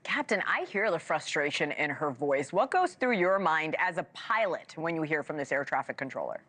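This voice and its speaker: A woman's voice: deep voice, big pitch swings, moderate pace, a bit bright, slight background noise. A woman anchoring a broadcast news interview, putting polished, direct questions to a guest.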